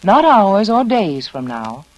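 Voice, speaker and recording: A woman spokesperson reading a scripted 1940s radio commercial in a deliberate, instructive way. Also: mid-range voice, big pitch swings, rough recording. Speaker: advertising spokeswoman. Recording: vintage 1940s radio broadcast.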